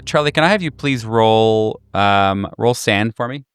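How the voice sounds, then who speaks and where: A man narrating a tabletop game as game master, improvising in a conversational, halting way. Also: fairly low pitch, clear, resonant tone, no room sound.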